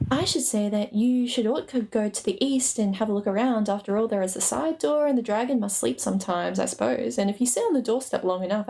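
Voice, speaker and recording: A woman's voice: fairly high pitch, gravelly, mellow. A woman voicing a timid, polite little male hobbit, flustered and rambling, in a dramatic book reading. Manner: hesitant, rambling.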